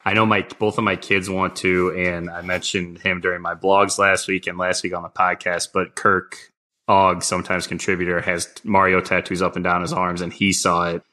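A middle-aged man with a head cold co-hosts a podcast, and his voice sounds stuffy and congested. He speaks very low but with a bright, forceful edge. His replies are easygoing and laid-back. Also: little pitch movement, moderate pace. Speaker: podcast co-host. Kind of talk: casual podcast chat.

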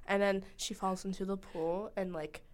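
A woman's voice: medium pitch, fairly even intonation, moderate pace. Chatty teasing teen girl host. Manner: chatty, teasing, drops to whispers for asides. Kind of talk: casual chat.

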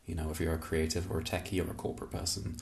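Very deep voiced guy hosting, laid back, dry jokes, echoey room. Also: racing, creaky. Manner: relaxed, dry humor, many fillers. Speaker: podcast host. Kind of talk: interview conversation.

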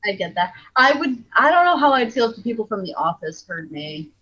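A woman's voice: a little high, big pitch swings, a little fast, bright, forceful tone, breathy edge, dull audio. A woman comedian co-hosting a podcast, frank and joking, a little tipsy. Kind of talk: casual podcast chat.

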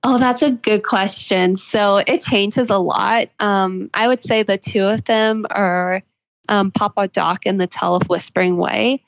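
A woman author answering interview questions in an earnest, measured, explanatory way. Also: medium pitch, fairly even intonation, no echo, rough recording. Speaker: guest author being interviewed. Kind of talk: reflective interview conversation.

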